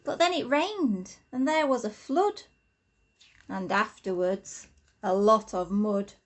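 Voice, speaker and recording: A woman, an infant school teacher, reading a rhyming storybook expressively to children with deliberate, sing-song emphasis. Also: mid-range voice.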